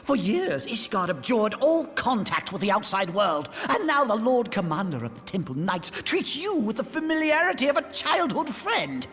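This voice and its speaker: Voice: medium pitch, very animated, normal speed, few pauses, fairly bright tone. An actor playing a smug, venomous schemer who accuses in pompous, theatrical, archaic speech for a video game cutscene. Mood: insinuating. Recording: long hall reverb, music underneath.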